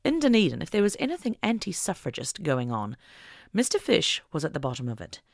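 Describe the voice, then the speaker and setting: A woman reading a scripted story as an audiobook narrator, in an expressive storytelling style. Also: deep voice, a little fast, creaky, dry recording, clean studio sound.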